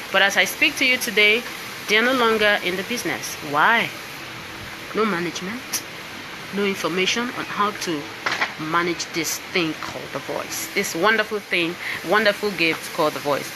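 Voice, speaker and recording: A woman's voice: fairly low pitch, noisy background. Nigerian woman chatting on a live stream. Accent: Nigerian English. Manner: chatty, keeps checking in with "all right?" and "you understand?". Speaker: singer and voice coach presenting her book. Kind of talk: live-stream talk to viewers. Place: indoors with piano and laptop.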